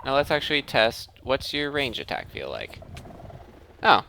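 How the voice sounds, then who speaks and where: A man's voice: medium pitch, very animated, unhurried, creaky, pushed and bright, a little hiss or hum. A man giving casual gameplay commentary, thinking aloud and often interrupting himself mid-thought. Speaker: gaming video host.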